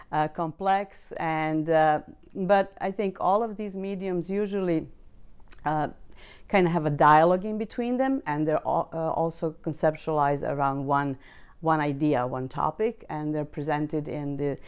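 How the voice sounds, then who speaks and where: A woman in her sixties from Serbia, speaking English with a Serbian accent, talks about her art in an interview, often pausing and restarting with fillers. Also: low voice, creaky, a little mellow, smooth. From Serbia (former Yugoslavia). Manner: hesitant, many fillers and restarts. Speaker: visual artist. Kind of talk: interview monologue.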